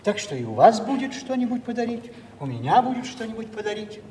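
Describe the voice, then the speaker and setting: An actor playing a timid, rambling old father in a theatrical audio play, halting and repeating himself. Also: sits high, big pitch swings, warm tone. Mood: pleading, confiding. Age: older. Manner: hesitant, repetitive, flustered and halting. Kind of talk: theatrical stage acting of a Russian classic. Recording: echoey hall, distant mic, faint noise.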